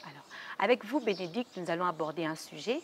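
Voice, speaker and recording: A woman's voice: lowish voice, expressive. Lady hosting a tv talk show, formal and polite, bit of a hiss behind her. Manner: formal greetings, some halting restarts. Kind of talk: formal TV interview. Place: TV studio.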